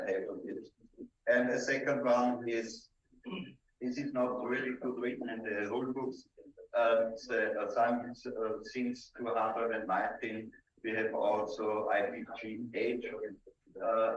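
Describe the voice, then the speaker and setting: A man with an Austrian accent, a native German speaker, presenting a seminar in halting, simple English with deliberate emphasis. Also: on the low side. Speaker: presenter instructing dog-trial judges. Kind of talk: seminar lecture. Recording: dull, echoey room, distant microphone.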